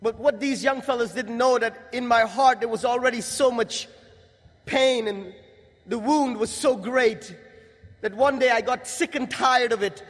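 A young man from Guyana giving a religious testimony to an audience, in a measured, emphatic delivery with pauses. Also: very high-pitched, fairly bright tone. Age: young adult. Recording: long hall reverb.

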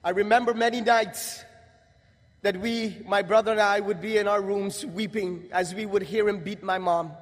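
High-voiced young man in a reverberant hall. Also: fairly even intonation. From Guyana. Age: young adult. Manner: measured, emphatic delivery with pauses. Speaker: speaker giving a testimony. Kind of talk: religious testimony speech to an audience.

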